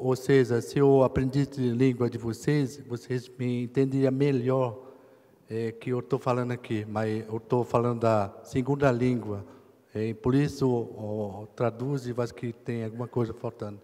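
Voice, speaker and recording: Man from brazil, slow halting speech full of fillers, big echoey hall. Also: medium pitch, mellow. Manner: hesitant, many fillers, slow pauses. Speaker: chief's grandson receiving an honor. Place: ceremony hall. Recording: long reverb in a big hall.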